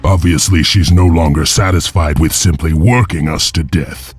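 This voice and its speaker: An actor playing a grim, defiant wolf-clan slave warrior in a video game, with a deep, husky, grave voice. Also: male voice, fairly even intonation, moderate pace. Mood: grim resolve. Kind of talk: video game cutscene acting. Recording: music behind.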